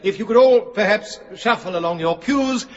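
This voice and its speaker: An actor playing an earnest English village vicar leading a wedding in a radio drama, in an echoing space. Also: male voice, sits high, lively intonation, normal speed. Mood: ceremonial. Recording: echoey church acoustic, dull, faint music.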